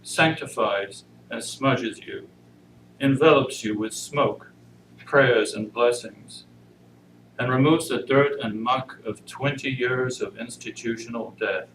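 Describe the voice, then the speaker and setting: Slow, solemn man reading in an echoey room. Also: medium pitch, a bit bright. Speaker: congregation member reading inmates' poetry. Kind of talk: introduction and poetry reading. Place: church service, indoor room. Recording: roomy, noisy pickup far from mic.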